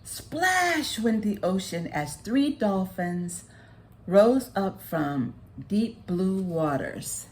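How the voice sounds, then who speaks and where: A woman reading a children's story aloud as narrator, with expressive, deliberate phrasing. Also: medium pitch.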